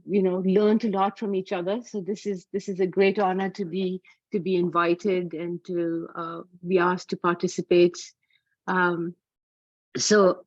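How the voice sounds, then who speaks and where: A woman's voice: mid-range voice, darkish tone, no room sound. A middle-aged woman from Pakistan giving an academic talk over a video call, hesitant with many fillers and restarts. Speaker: academic lecturer. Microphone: computer mic on a video call. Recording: dull, dry video call audio.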